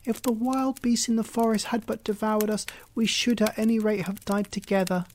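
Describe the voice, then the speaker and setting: Tearful little girl, desperate and pleading, high voice, played by the storybook reader. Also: little pitch movement, normal speed, a little mellow, a little hiss or hum, close to an everyday voice. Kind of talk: storybook reading.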